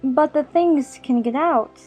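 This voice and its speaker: A woman voicing a curious, polite young girl in a storybook audiobook, musing and gently plaintive. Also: sits high, takes it slowly, faint music behind, low-quality recording. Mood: polite, gentle.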